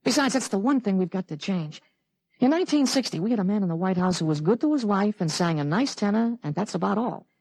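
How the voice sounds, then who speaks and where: Casting: a self-assured, urgent teenage boy with a grown soldier's head, mid-range pitch, dry 1950s radio drama sound. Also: expressive, quick pace, darkish tone, no echo, low-quality recording. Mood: confident, persuasive.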